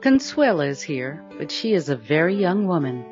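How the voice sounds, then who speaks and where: A woman playing a calm narrator with a lower voice that still moves expressively. Faint guitar music plays under slightly dull audio. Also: moderate pace. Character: calm adult female narrator of the story.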